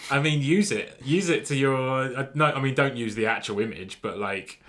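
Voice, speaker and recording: A man's voice: mid-range voice, moderate pace, a bit bright. A man co-hosting a casual podcast from a home kitchen, laid-back, mostly reacting with short remarks and jokes. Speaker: podcast co-host. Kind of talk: casual chat.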